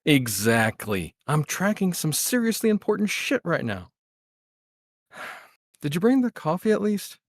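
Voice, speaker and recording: A man acts out a grumpy secret agent in a radio-show table read. His fairly high voice swings widely as he grumbles and swears with weary sarcasm, recorded dry. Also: very animated, a little slow, long pauses, no room sound, exaggerated voice. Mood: irritated. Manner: exasperated, sarcastic. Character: grumpy caffeine-deprived male agent, intensely focused, sweary. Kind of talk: audio drama table read.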